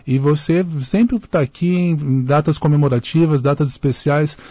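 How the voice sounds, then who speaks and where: A man's voice: on the high side, normal speed, mellow, no echo. A man hosting a live radio interview, chatting and reading out listener messages. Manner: conversational, reads out listener messages. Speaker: radio host. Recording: dull lo-fi radio audio.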